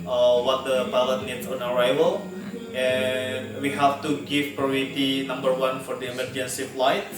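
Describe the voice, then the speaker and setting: A man with an Indonesian accent speaking halting non-native English, explaining air traffic control procedures step by step as in a spoken test practice. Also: medium pitch. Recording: very echoey room, distant mic, music behind.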